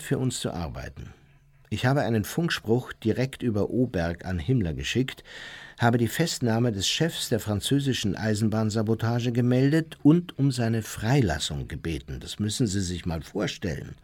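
A former Nazi officer in a radio drama, looking back on his exploits with smug pride. His low voice swings animatedly as he tells the story and slips into the quotes. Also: animated, normal speed, a little mellow. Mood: proud, cunning. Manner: self-satisfied, vivid storyteller who acts out quotes. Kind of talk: radio play acting, conversational recollection.

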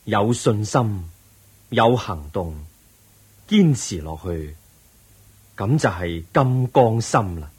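A compassionate, firm Buddha in a radio play. He teaches solemnly and very slowly in a Hong Kong Cantonese accent, his tone swinging expressively through a heavily husky voice. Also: on the low side, very animated, a little mellow, exaggerated voice. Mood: solemn encouragement. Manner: measured, solemn, instructive. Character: serene, wise male Buddha, compassionate and firm. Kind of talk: Cantonese radio drama acting.

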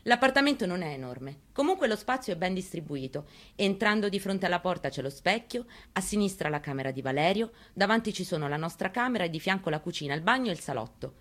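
A woman's voice: fairly low pitch, animated, fast talker, few pauses. A woman performing a dramatic monologue reading as a haunted, plainspoken mother recounting her story. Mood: plain recollection. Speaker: actress in a staged reading.

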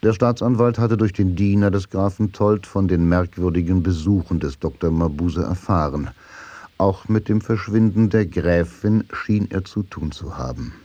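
Deep male radio drama narrator. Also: moderate pace, dark tone, no room sound. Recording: music behind the voice.

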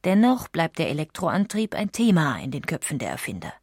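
Female narrator, lower register, measured and factual documentary read, dry studio sound, faint music. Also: huge pitch swings, a little mellow, husky, no echo.

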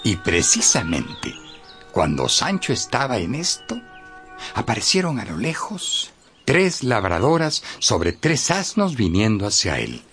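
Animated male storyteller over music. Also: medium pitch, a little slow. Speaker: narrator. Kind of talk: lively scripted storytelling for radio drama.

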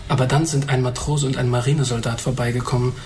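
A man's voice: mid-range voice, level tone, fast, darkish tone, slight background noise, close to an everyday voice. Actor as a brooding, candid blind man. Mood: bitter, grave. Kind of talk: radio drama acting.

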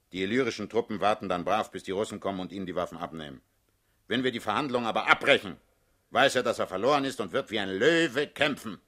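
A man's voice: low voice, a bit bright. A male actor playing a hard-headed older revolutionary leader in a radio drama, lecturing and condescending. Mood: low, probing, urgent. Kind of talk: theatrical radio drama acting.

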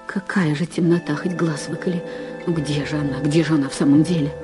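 An actress playing an anxious, loving, easily alarmed woman in an audio drama, with a soft, mellow voice and pleading manner. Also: sits low, fairly even intonation, brisk, over music, close to an everyday voice. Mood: nervous, flustered. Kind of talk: Russian audio drama acting.

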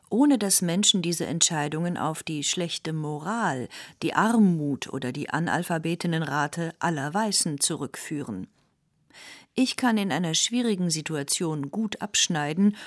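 A woman reading quoted passages aloud in a radio essay, calm and deliberate. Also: low voice, no room sound, studio quality. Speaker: quotation reader.

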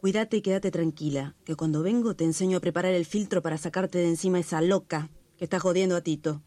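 A woman playing a streetwise nurse in a radio melodrama, colloquial and expressive. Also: fairly low pitch, fast, dry recording, close to an everyday voice. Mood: brisk, reassuring. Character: brisk, streetwise woman nurse who dabbles in folk remedies.

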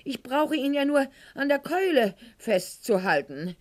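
A woman's voice: sits high, very animated, measured pace, background noise. An actress playing a proud, witty, wounded aristocratic lady in a theatrical radio drama monologue, articulate and ironic. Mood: agitated, flustered. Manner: articulate, haughty, ironic, literary phrasing.

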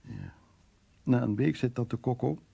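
Radio drama role: troubled man telling his bitter past, mellow but husky, halting storyteller delivery. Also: medium pitch, huge pitch swings, heavily acted. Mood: rueful. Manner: halting, storytelling, acting out quoted remarks. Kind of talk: Dutch radio play, intimate dramatic monologue and dialogue.

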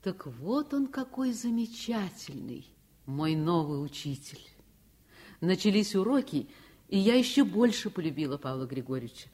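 An actress playing an enthusiastic girl narrator recounting her childhood, expressive and confiding, in a Soviet radio play. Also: on the low side, normal speed, breathy edge. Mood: admiring.

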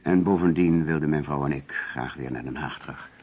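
An actor playing an agitated middle-aged businessman under police questioning in a radio drama, defensive and hesitant, with a husky voice on an old recording. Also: a bass voice, a little fast, phone-quality sound. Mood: curt, guarded.

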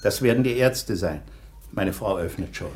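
An actor in a radio play playing an anxious, earnest householder who questions in a worried, doubtful way. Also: male voice, low-pitched, lively intonation, moderate pace, a little mellow, a little hiss or hum. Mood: doubtful, uneasy. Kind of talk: German radio play acting.

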